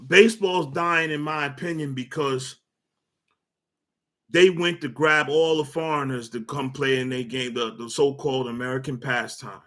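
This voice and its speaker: A man's voice: on the high side, a bit bright. A man hosting a casual sports rant, blunt and opinionated, with lots of fillers, restarts and repeated words. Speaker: sports commentary host.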